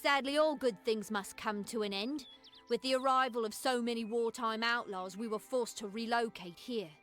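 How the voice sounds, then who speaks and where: A woman plays a scornful outlaw swindler, a villain in a fantasy video game. Her voice sits fairly high, smug and mocking with cool menace. Music runs underneath. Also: normal speed, a bit bright, natural voice. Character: sly, scornful female con artist and outlaw.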